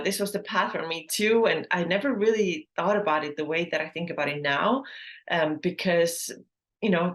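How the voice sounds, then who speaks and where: A woman in her thirties, a native Estonian speaker, hosting a casual solo podcast in English, chatty and full of fillers and self-corrections. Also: lowish voice. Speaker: podcast host.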